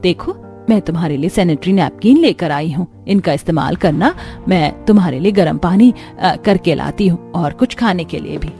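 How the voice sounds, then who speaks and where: A woman voicing a kind, patient middle-aged mother-in-law in a cartoon story, gentle and reassuring. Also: lowish voice, expressive, moderate pace, creaky, faint music behind. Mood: concerned.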